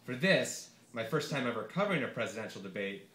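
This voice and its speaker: Male author, wry live literary reader, mid-pitched voice, roomy echoing venue sound. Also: very animated, quick pace, pushed and bright. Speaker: author reading own essay. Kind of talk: live literary reading of satirical essay. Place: bar reading event before an audience. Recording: roomy echo, live bar reading.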